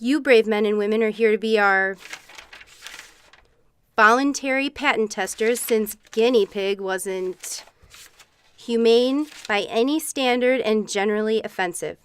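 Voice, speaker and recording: A woman's voice: on the high side, a little slow, clear, resonant tone, close to an everyday voice. A woman playing a darkly cheerful corporate guide at a test facility in a comic radio play, politely delivering grim news. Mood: mock cheerful. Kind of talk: comic radio theatre monologue.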